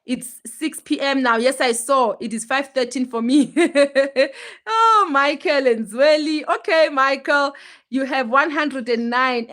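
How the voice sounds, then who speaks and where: A woman's voice: sits high, slow, fairly bright tone. A woman, hosting a casual livestream and talking straight to her viewers in an emphatic, chatty way. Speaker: livestream host.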